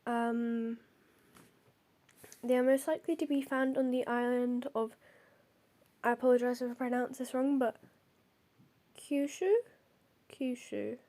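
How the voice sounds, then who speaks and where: A woman's voice: a little high, fairly even intonation, measured pace, pauses often, mellow. A young woman with a cold and a stuffy nose, hosting and reading out folklore notes, hesitant with many ums and apologies. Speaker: host.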